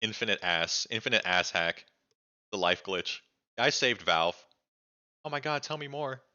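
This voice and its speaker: A young man streaming live, reacting to a documentary in an excitable, sweary way with lots of "like". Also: fairly low pitch, a little slow, lo-fi sound. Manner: excitable, swears freely, fills speech with "like".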